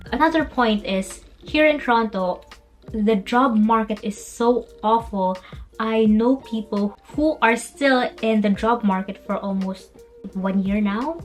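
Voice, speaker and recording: A young woman from the Philippines, speaking English as a second language, talking earnestly in a reflective personal vlog and encouraging herself with soft laughs. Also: on the high side. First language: Filipino language. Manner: earnest, self-encouraging, laughs softly between thoughts. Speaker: vlogger.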